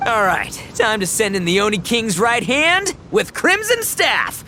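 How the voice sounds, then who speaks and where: A cocky young oni gang leader from an anime game. His delivery is bombastic and melodramatic, with drawn-out words that swing wildly in pitch. The tone is bright and forceful. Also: animated, slight background noise, exaggerated voice. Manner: bombastic, melodramatic, stammering when flustered. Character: brash, excitable young male oni gang boss.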